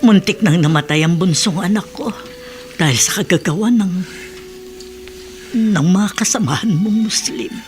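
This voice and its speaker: A woman's voice: medium pitch, normal speed, long pauses, soft background music, natural voice. A woman playing a resentful older neighbor in a Tagalog radio drama, speaking in a cool, reproachful tone.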